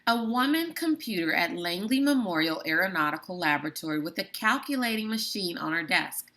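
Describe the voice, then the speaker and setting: A woman teacher reads a book chapter aloud for her students at a measured, deliberate pace. She stresses important words and now and then breaks off for a brief comment of her own. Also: lowish voice, expressive, sharp tone. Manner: slow, deliberate reading with emphatic words and brief asides. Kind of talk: classroom read-aloud of a book.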